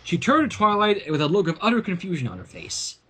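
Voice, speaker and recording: A man's voice: high voice, wildly swooping pitch, fairly quick, fairly bright tone, breathy edge. A man reading fan fiction aloud on a livestream, narrating and adding casual asides.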